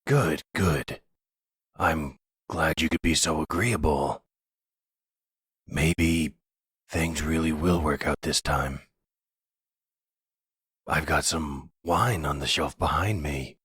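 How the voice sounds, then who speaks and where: A man acts out a delusional, possessive crime boss in middle age for an ASMR roleplay. His voice is extremely deep and heavily husky, recorded close and dry. He speaks slowly, with condescending tenderness that carries menace. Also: fairly bright tone, no echo, heavily acted. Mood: tender, coaxing. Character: possessive, delusional middle-aged male mafia boss and husband.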